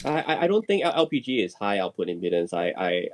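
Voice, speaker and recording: A man on a podcast panel explaining casually and haltingly, repeating words as he goes. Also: on the low side, sing-song, crowd noise.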